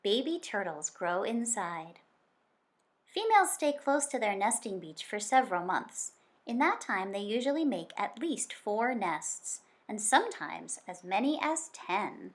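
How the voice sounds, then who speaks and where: A woman's voice: mid-range voice, very animated, a little slow. Woman reading a picture book aloud expressively. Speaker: teacher reading aloud to children.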